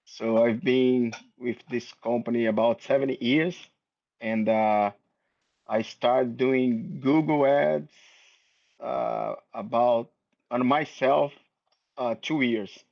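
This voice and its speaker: Slow, hesitant man on a dull call. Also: medium pitch, steady tone, gravelly. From Brazil. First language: Portuguese. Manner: hesitant, with many fillers. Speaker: small business owner, guest. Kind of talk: casual video call chat. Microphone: video call. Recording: dull lo-fi video call audio.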